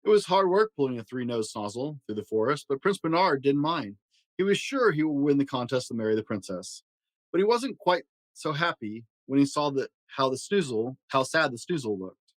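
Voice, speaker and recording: Casting: male storyteller for children's picture books, expressive read-aloud style, mid-range voice, very dry recording. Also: medium pitch, moderate pace, no room sound. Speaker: storyteller and host.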